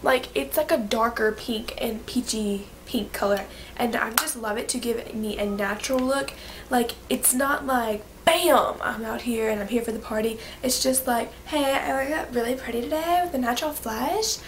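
A woman's voice: on the high side, slight background noise. Bubbly young woman with a stuffy nose. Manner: bubbly, gushing, quick asides. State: stuffy, coming down with a cold. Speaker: beauty vlogger. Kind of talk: casual monthly favorites vlog. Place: bedroom, indoors.

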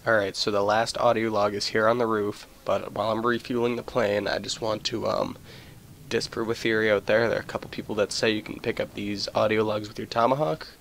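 Man, low gravelly voice, casual gaming walkthrough, faint noise behind. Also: level tone, fairly quick, fairly bright tone. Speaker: gameplay video narrator. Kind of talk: casual video game walkthrough commentary.

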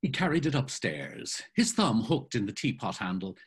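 An older man narrating a novel in a savoring, expressive reading style over a video call. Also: mid-range voice, normal speed, breathy edge. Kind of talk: dramatic literary reading. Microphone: video call webcam.

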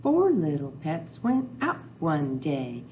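An older woman hosts a storytime for young children with rhymes and counting. She speaks very slowly in a sing-song, repetitive way, with pitch that moves up and down a lot. There is some background noise behind her. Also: huge pitch swings, a little mellow. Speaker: children's librarian, storytime host. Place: small indoor room with whiteboard.

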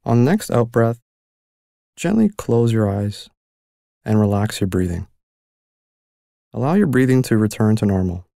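Man, meditation guide, slow, gentle, mellow, gravelly, dry close mic. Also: on the low side, animated, dry recording. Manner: slow, gentle and soothing instructions.

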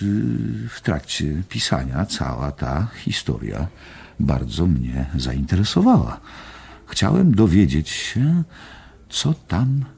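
An actor playing a worldly journalist in a radio drama, chattily telling a friend an eerie tale in a husky, gravelly voice. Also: male voice, low voice, darkish tone, full character voice. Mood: storytelling, intrigued.

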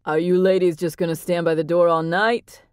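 A woman's voice: lowish voice, flat delivery, normal speed, a little mellow, clean tone, no room sound, clean studio sound, natural voice. A woman voicing a blunt, teasing middle-aged man who jokes with his guests.

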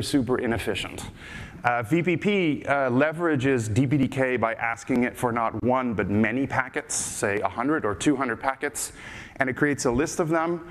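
Dutch man with American-sounding accent, hall reverb. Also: medium pitch. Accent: American-sounding English. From Netherlands. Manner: casual, joking, many filler uh's and restarts. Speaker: conference speaker. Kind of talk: technical conference talk. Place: large conference stage. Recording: reverberant hall with faint background noise.

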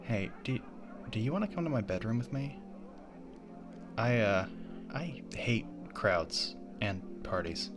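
A man voicing a smitten, possessive spirit in a young man's body, breathy and stammering, in an intimate ASMR roleplay. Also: lowish voice, very animated, a little slow, pauses often, over music. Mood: smitten, nervous. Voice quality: breathy, often dropping to whispers. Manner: awestruck, stammering, eager.

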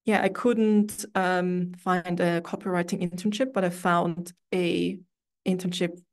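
A young woman from Austria, an English speaker, telling her story in a relaxed, chatty way as an interview guest over a video call from a room at home. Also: lowish voice, measured pace. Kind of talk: casual interview chat.

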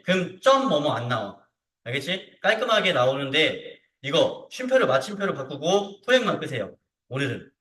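A man teaching software step by step in an informal way, often checking in with short tag questions. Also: a little high. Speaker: CAD instructor. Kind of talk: hands-on software tutorial to students. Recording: roomy echo, distant mic.

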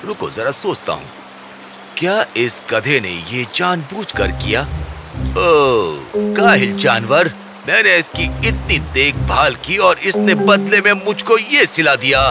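A man's voice: a little high, very animated, vocal fry, over music. A man playing a hard-working, scolding merchant in a cartoon fairy tale, in a theatrical, exclamatory way. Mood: angry, suspicious. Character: hard-working salt merchant, scolding his lazy donkey.

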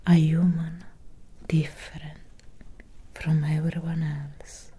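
A woman playing a centuries-old vampire doctor in a soft-spoken ASMR roleplay, speaking in a solemn, measured, breathy whisper. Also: sits low, level tone, heavily acted. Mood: solemn, reassuring. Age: young adult. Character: centuries-old female vampire doctor, grave, gently caring.

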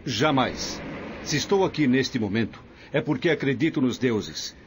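A man's voice: mid-range voice, animated, unhurried, a little hiss or hum, natural voice. A man playing a proud, resolute Roman patrician in a radio drama. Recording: loud ambience behind voice.

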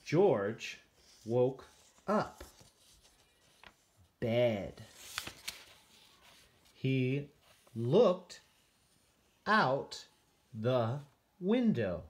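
A man, a first grade teacher, reading aloud for beginning readers in a deliberate way, separating each word. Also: mid-range voice, very animated, a little hiss or hum.

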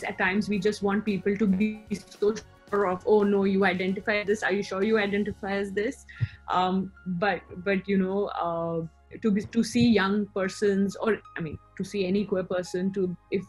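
A woman with an Indian accent answers interview questions in a reflective, conversational way. Her voice sits at a middle pitch, and she feels her way through her thoughts with some hesitation. She is on a video call, with music playing behind her. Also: slightly rough, rough recording. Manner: conversational, hesitant, thinking aloud. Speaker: interview guest, co-founder of a queer community platform. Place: small room indoors, on a video call. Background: background music.

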